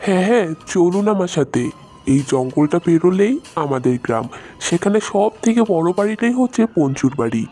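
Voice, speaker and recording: A man plays a poor village woodcutter in a cartoon fable. His voice sits fairly high and sounds meek, honest and earnest. Light music runs underneath. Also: expressive, fairly quick, mellow. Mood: humble, pleading. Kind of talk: animated moral story dialogue. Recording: voice over light background music.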